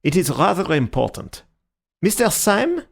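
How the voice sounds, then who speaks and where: A man's voice: a little high, huge pitch swings, a little slow, creaky, breathy edge, voice pushed up, exaggerated voice. A man voicing an exasperated, flamboyant nobleman who pleads and rants in breathless bursts. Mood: patient pleading. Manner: pleading, then roaring, breathless tirades.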